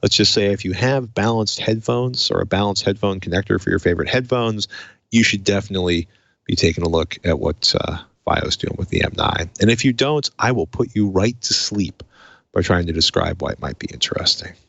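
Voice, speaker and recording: A man's voice: sits low, a little fast, vocal fry, fairly bright tone, no room sound, dull audio. A man hosting a casual tech show, chatty and conversational, with wry asides. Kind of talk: casual tech podcast chat.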